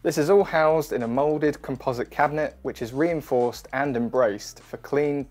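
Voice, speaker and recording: A man in his thirties narrates a scripted product walkthrough. His delivery is clear and instructional, at a middling pitch, with music playing behind him. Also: darkish tone. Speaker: product demonstration presenter. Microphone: wireless lavalier or close studio mic. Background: background music.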